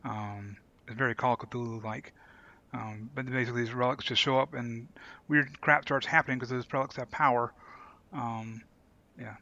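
A man's voice: mid-range voice, steady tone, moderate pace, pushed and bright, muffled recording. A man co-hosting a podcast, chatting casually with the others. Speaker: podcast co-host. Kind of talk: casual group chat.

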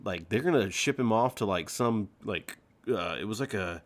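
A man's voice: lowish voice, lively intonation, moderate pace. A man co-hosting a podcast, talking through a book casually with friends in a rambling, slangy way. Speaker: podcast co-host.

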